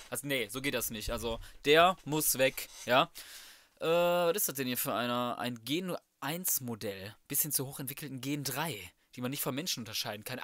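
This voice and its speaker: A man's voice: a little high, sharp tone. A man giving casual, slangy and crude commentary on a video game, talking to himself as he plays. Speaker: let's play gamer.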